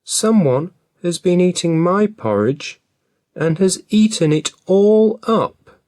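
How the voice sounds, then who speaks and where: Shrill little baby bear, voiced by a man. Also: animated, takes it slowly, darkish tone, no echo, studio quality. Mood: upset. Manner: shrill, raised voice. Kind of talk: storybook reading.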